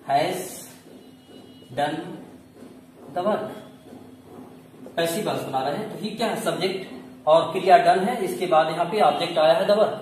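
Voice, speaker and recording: A man teaching a grammar lesson step by step, asking questions, answering them himself and repeating key points. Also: sits high. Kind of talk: classroom lesson, explaining step by step. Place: echoey room. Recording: very echoey room, distant mic, faint noise.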